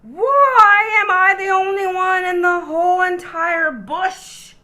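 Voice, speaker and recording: A woman's voice: extremely high pitch, takes it slowly, sharp tone, voice pushed up, heavily acted. A woman voicing a grumpy male koala in a children's storybook, indignant and emphatic in how he talks. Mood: exasperated, shouting. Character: grumpy male koala fed up with being misnamed.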